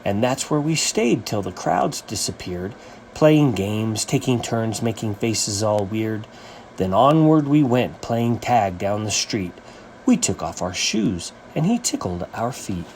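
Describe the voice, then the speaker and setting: A man reading a rhyming children's story as its author, with an animated, sing-song rhythmic delivery. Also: lowish voice, measured pace, slight background noise.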